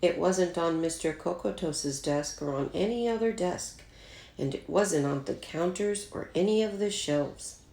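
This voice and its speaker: A woman's voice: fairly low pitch, unhurried, vocal fry, dark tone. A woman voicing a polite, earnest young immigrant schoolgirl in a storybook reading. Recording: roomy, echoey home recording.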